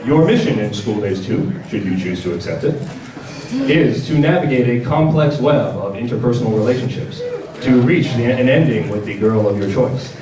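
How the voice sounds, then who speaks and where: A man's mid-pitched voice comes from a distance in a roomy hall, with a clearly audible crowd. He presents informally as a panel host, in a casual, wry and joking way. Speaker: company presenter hosting a convention panel. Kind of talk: informal product presentation to an audience. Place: large indoor convention panel room. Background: audience crowd.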